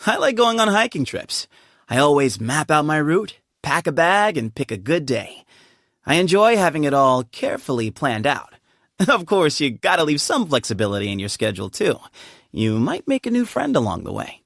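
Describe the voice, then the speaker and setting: A man's voice: on the high side, big pitch swings, gravelly, a bit bright, dry recording, heavily acted. An actor voicing an easygoing, playful young man who leads a desert temple, recorded on a studio mic. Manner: playful and chummy, quick to laugh. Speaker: game character voice actor. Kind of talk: video game character voice lines. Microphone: studio microphone. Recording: dry studio booth.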